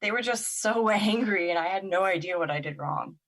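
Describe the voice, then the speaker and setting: A woman's voice: mid-range voice, a little fast, fairly bright tone, lightly acted. An actress in an audio drama playing a wounded, wary young woman who talks in a casual, hesitant and candid way. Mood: vulnerable, confessing.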